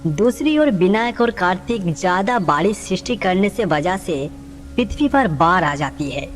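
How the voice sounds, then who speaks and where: Lowish, lively woman storyteller. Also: unhurried. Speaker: narrator. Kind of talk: scripted devotional story narration. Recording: long reverb, music underneath.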